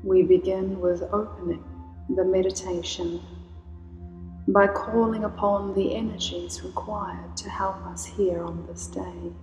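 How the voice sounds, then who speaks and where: A woman guides a meditation in a slow, soothing voice, calling gently on healing energies. Her tone stays even, with little rise or fall. Her voice carries a long, roomy reverb, with faint music underneath. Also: medium pitch, lo-fi sound. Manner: slow, soothing, invocational delivery.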